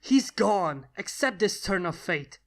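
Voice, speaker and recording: A woman's voice: mid-range voice, animated, slow pace. Woman acting a stern, commanding male lord. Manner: blunt, commanding, solemn.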